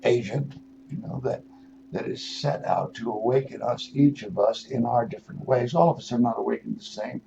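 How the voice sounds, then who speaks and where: Older man, gravelly mellow voice, rambling philosophical radio monologue, halting delivery with emphatic stresses, dull lo-fi sound. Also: mid-range voice. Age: 60s or older. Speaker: radio show host. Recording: dull lo-fi radio audio with noise.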